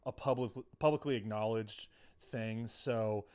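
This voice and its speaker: A man's voice: lowish voice, steady tone, measured pace, darkish tone, smooth. A man co-hosting a recap podcast in casual chat, with wry, sweary banter. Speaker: podcast co-host. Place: studio.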